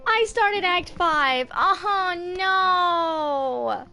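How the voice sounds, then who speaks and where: Bright, high-voiced young woman gaming. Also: lively intonation, extremely slow, slight background noise. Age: 20s. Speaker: gaming streamer. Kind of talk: casual gameplay commentary, reading game text aloud. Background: game music.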